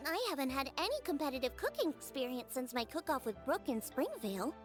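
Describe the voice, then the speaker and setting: A woman's voice: sits high, fairly quick, over music. A woman voicing a spirited, earnest young girl chef in an anime-style video game, with a girlish, emphatic delivery. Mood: confident, admiring. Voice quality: bright, girlish. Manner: emphatic, expressive.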